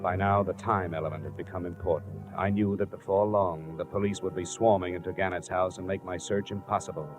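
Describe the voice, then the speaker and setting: A man's voice: low voice, steady tone, brisk, hardly any pauses, close to an everyday voice. A man playing a dogged, terse insurance investigator in a 1950s radio detective drama, questioning and narrating in a clipped, matter-of-fact way. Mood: terse narration. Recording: muffled old radio recording with music.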